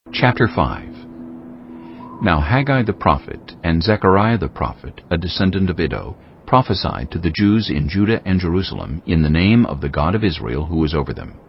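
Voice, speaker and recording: A man narrating scripture from a script, as a measured, solemn storyteller in a dramatized production. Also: low voice. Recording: slightly dull with faint background noise.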